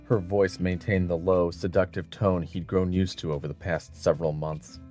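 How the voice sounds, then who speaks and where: A man with a very low voice narrates a dramatic audiobook. Faint music plays behind his scripted storytelling. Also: little pitch movement, moderate pace, a little mellow.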